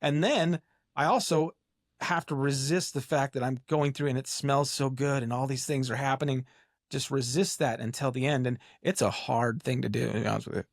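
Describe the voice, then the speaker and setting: A man hosts a casual podcast interview in a relaxed, agreeable way, with many short words of agreement. His voice sounds close and dry, with no room around it. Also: medium pitch, normal speed, vocal fry, dry recording. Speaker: podcast host.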